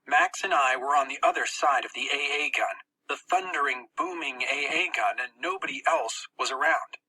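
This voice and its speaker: An audiobook narrator reads a first-person story with dramatic tension. The voice is very bright and forceful, slightly husky, and expressive in its phrasing. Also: mid-range voice, normal speed, low-quality recording.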